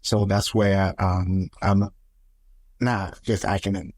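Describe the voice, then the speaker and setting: Low-voiced man speaking haltingly. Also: level tone, darkish tone, dry recording. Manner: halting, casual with fillers. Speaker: panelist, senior manager.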